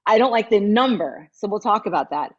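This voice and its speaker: A woman's voice: medium pitch, lively intonation, brisk, highs cut off. A woman in her fifties, a matchmaker and dating coach, talking candidly and reflectively as a guest in an interview. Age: 50s.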